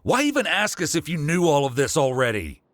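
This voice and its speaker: An actor playing a suspicious, short-tempered former merchant who sighs wearily, in a video game. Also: male voice, on the high side, sing-song, brisk, fairly bright tone, no echo, studio quality. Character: irritable former merchant man, suspicious and sighing.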